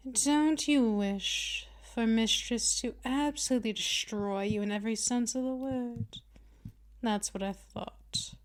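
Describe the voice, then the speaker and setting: A woman's voice: a little high, measured pace, natural voice. A woman playing a dominant, patient vampire mistress in a scripted ASMR roleplay, speaking in a condescending, teasing purr straight to the listener. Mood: domineering. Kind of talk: scripted ASMR roleplay, intimate second-person address.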